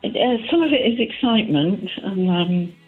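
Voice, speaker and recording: An older woman with an East Midlands accent, calling in over a muffled, narrow phone line. She chats in a cheeky, giggly way, and her voice sounds a bit husky. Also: medium pitch, expressive, moderate pace, faint music behind. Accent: East Midlands English. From Leicester, England. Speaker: caller. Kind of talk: late-night phone-in chat.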